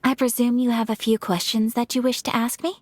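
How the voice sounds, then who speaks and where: A woman playing a refined, composed young noblewoman in a video game, speaking in a formal, measured, polite way with a soft voice. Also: a little high, level tone, no echo, natural voice. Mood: composed, serious. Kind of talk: video game dialogue acting.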